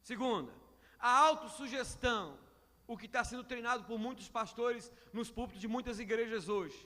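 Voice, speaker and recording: A man pastor preaching a sermon to his congregation, building to loud emphatic peaks. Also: very high-pitched, normal speed. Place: church hall. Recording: long church reverb.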